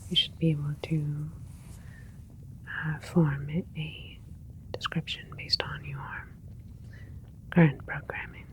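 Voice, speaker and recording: Woman as a slow whispering alien scientist. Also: low-pitched, little pitch movement. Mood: attentive, clinical. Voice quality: soft breathy whisper. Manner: slow, gentle, methodical, clinical. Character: calm, clinical alien scientist doctor examining their creation. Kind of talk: whispered ASMR sci-fi roleplay.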